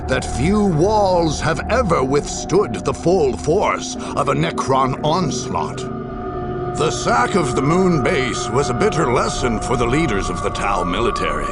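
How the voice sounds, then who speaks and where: A man giving scripted dramatic narration of a war history in a grave, deliberate, theatrical manner. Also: mid-range voice. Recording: music behind the voice. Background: game music.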